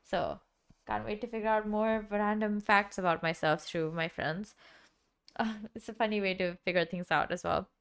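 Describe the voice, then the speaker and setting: A woman's voice: mid-range voice, steady tone, moderate pace, a bit bright, clear, resonant tone. Young woman, sick, rambling chat. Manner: rambling, many "like" fillers. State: sick, dry throat. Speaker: streamer. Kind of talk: casual stream chat. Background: background music.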